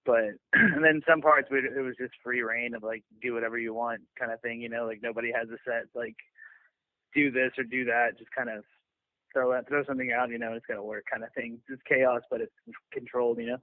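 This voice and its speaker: A man's voice: mid-range voice, steady tone, fairly quick, no room sound. Laid-back man on a lo-fi phone line. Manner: laid-back, loose phrasing. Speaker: touring bassist being interviewed. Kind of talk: casual chat.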